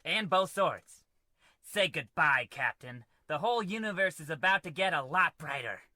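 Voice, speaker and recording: A man's voice: a little high, animated, moderate pace, sharp tone, dry recording, studio quality. A man playing a righteous, gloating swashbuckling adventurer in a comedy radio play, declaiming bombastically.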